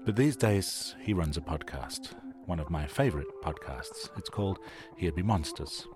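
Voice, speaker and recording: A man's voice: low-pitched, expressive, normal speed. A man hosting a radio show, giving scripted narration to introduce an audio documentary. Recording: music behind the voice. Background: music.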